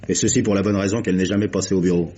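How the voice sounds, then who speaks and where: An actor playing a chatty, slangy hotel night clerk, folksy and hesitant, in old radio mystery style. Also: male voice, low-pitched, steady tone, very fast, warm tone, slightly rough. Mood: helpful, casual. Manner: folksy, hesitant, eager gossip. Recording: muffled, hissy vintage radio recording.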